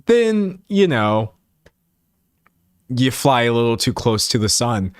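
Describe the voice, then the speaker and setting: A man's voice: mid-range voice, slow pace, dry recording, studio quality. Chatty young man in his late twenties. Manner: animated, self-mocking, acts out imagined remarks. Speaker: livestream host. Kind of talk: casual chat, rambling monologue to viewers.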